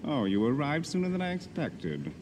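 A man's voice: mid-range voice, wildly swooping pitch, moderate pace, noisy background. An actor playing a flamboyant, menacing villain henchman in a video game, theatrical and savouring his words. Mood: savouring menace. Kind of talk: video game cutscene acting.

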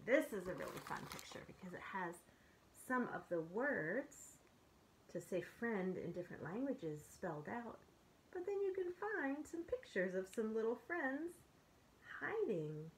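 A woman in her forties reading children's poems aloud and chatting between them in a gentle, expressive way, as a storyteller speaking to young children. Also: a little high, a little slow, slight background noise, low-quality recording. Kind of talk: read-aloud of children's poems with casual commentary. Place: small furnished room at home, indoors.

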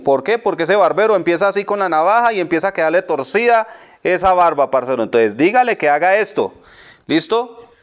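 A Colombian man with a high-pitched voice talks through a barbering tutorial. He speaks in loose street slang, repeating little phrases and addressing the listener as a buddy. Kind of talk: casual hands-on tutorial narration.

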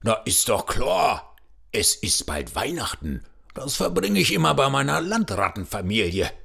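A man playing a jovial, hearty pirate captain in a children's audio play, with a gravelly, husky voice. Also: medium pitch, huge pitch swings, unhurried, bright, forceful tone, exaggerated voice.

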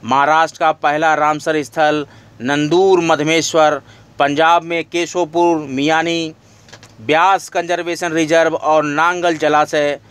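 Male lecturer, slightly high voice, steady reading of exam notes. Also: measured pace, clean tone. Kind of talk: reading study notes aloud.

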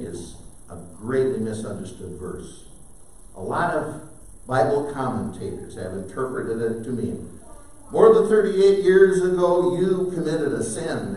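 An older man preaching a sermon from a pulpit, animated, with sudden loud bursts. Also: mid-range voice. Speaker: pastor. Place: church sanctuary. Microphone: far camera, preaching at a wooden pulpit. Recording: very echoey sanctuary heard from a distance.

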